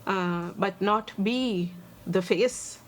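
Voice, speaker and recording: A Sri Lankan woman in her forties with a Sri Lankan accent, a lawyer answering interview questions earnestly and thoughtfully, pausing on many fillers. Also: mid-range voice, low-quality recording. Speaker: guest, human rights lawyer and advocate.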